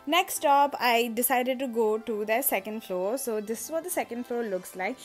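A woman's voice: on the high side, normal speed, soft background music. Chatty young woman vlogger. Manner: chatty, upbeat, addresses viewers as guys. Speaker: fashion vlogger. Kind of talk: casual shopping vlog. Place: outdoor street market and indoor shopping arcade.

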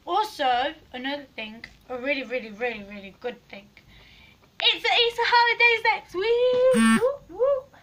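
Hoarse teenage girl rambling at a phone. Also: very high voice, unhurried, heavy background noise. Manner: rambling, giggly, drifts loud and soft. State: hoarse, losing her voice. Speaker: vlogger. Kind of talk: casual selfie vlog chat. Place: small bedroom indoors. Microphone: phone camera at arm's length.

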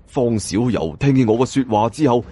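Male Cantonese audiobook narrator, dramatic delivery with animated, wide-swinging intonation over background music. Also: mid-range voice, fairly quick, breathy edge. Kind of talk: dramatic scripted first-person story narration.

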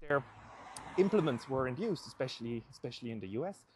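A man in his thirties thinking aloud haltingly in a casual monologue while walking, recorded outdoors on a handheld camera. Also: mid-range voice, lively intonation, a little mellow. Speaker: vlogger and commentator. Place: outdoors on a sunny residential street. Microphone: handheld camera at arm's length. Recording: outdoor handheld recording with faint ambient noise.

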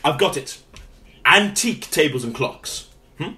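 A man playing an inept, pompous, eager shopkeeper brother in a comedy radio play, dithering and excitable. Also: on the high side, a little slow, noisy background. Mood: amused, eager. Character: inept, pompous, eager upper-class brother running antique shop.

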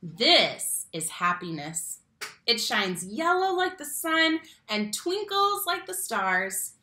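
Young woman, children's read-aloud host. Bright, expressive delivery with emphatic key words, roomy home recording. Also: on the high side. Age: young adult. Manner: animated, slow emphatic phrasing on key words, addresses children directly. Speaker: read-aloud teacher and storyteller. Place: small room at home, indoors. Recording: roomy home webcam sound.

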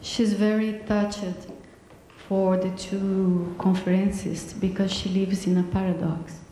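A woman's voice: mid-range voice, flat delivery, slow pace, dark tone, slight background noise. A woman interpreting aloud in a large hall, hesitant and full of fillers. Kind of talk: live interpreting. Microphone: handheld mic. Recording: echoey hall, long reverb.

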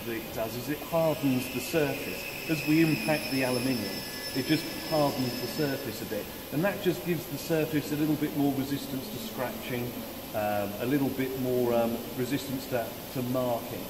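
A middle-aged man on a factory floor, calmly explaining how masts are made, technical and measured, with many fillers. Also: medium pitch. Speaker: mast factory manager. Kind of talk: explaining a manufacturing process. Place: large factory floor, indoors. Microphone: camera mic at a distance. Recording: echoey factory hall, mic far off, loud machine noise.

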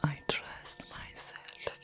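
A woman whispers with a French accent, as in an ASMR meditation. She speaks very slowly and soothingly, leaving long pauses between phrases. Music plays behind her. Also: a bit bright. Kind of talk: whispered ASMR guided affirmation.

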